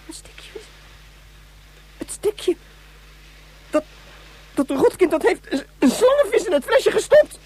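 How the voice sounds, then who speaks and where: Radio play role: young boy, very high and swooping voice, indignant, shouty, quick to insult. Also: sing-song, slight background noise, full character voice, low-quality recording. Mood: furious. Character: hot-tempered young boy, whiny and insulting.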